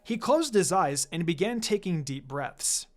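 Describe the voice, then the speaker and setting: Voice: medium pitch, animated, a little slow. Fan fiction narrator with music behind. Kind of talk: scripted fan fiction story reading.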